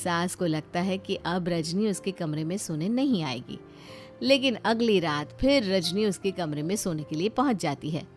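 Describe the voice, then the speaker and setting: A woman's voice: on the low side, animated, normal speed, soft background music. A woman reading a scripted family story as narrator.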